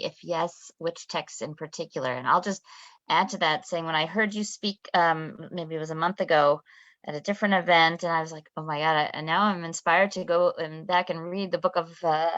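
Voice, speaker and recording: A woman hosts a panel over a video call, chatting loosely with fillers. Her voice is low, and the audio is dry and dull. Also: a bit bright, dry recording. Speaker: event host. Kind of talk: moderating a panel.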